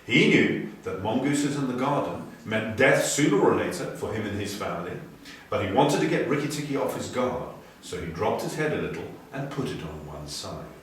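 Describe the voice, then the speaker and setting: A man reading a story dramatically, moving between hushed and emphatic delivery. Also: lowish voice, big pitch swings, normal speed. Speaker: story reader. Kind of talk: expressive storybook narration. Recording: very echoey room, distant, faint noise.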